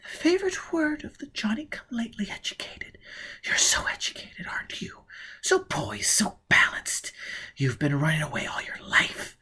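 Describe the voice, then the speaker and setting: A breathy, hushed young woman, frightened and bitter, hissing her words more than speaking them, as a male narrator puts her on. Her voice sits high and thin. It comes from a storybook reading. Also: huge pitch swings, moderate pace, heavily acted. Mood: bitter whisper. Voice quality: breathy whispered delivery.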